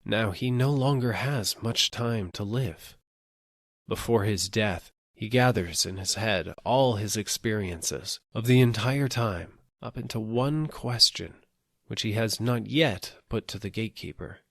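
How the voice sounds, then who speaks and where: A man with a low voice reads literary fiction as an audiobook narrator. His delivery is measured and deliberate, moving phrase by phrase. The recording is dry, with hardly any room sound. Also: creaky, fairly bright tone, no echo.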